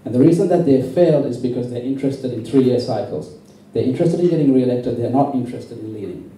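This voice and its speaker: A man from Sri Lanka with a very dark, muted voice delivers an intense political speech. He is emphatic and deliberate. He is heard from a distance in a heavily echoing room. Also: medium pitch. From born in Sri Lanka. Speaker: campaign speaker at a public meeting. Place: indoor public meeting venue. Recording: very echoey, distant, faintly noisy hall recording.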